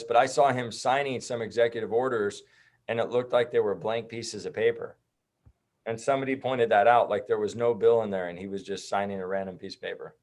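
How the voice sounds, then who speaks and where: A man with an American accent talking loosely and jokingly as a guest in a casual interview. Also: fairly low pitch. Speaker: guest, activist group organizer. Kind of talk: casual chat.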